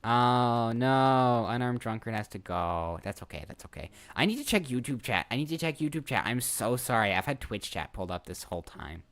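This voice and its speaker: A man's voice: medium pitch, expressive, normal speed, few pauses, fairly bright tone. An eighteen-year-old man chatting casually on a live stream, rambling, repeating himself and breaking off mid-sentence. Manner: rambling, repeats phrases, self-interrupting. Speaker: streamer and voice actor.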